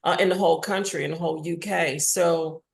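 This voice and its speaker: A woman with a fairly low voice and pronounced vocal fry, chatting as an interview guest. She tells her stories frankly and informally, in a candid conversational style. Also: steady tone, a little fast. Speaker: interview guest, theatre maker and audio drama writer.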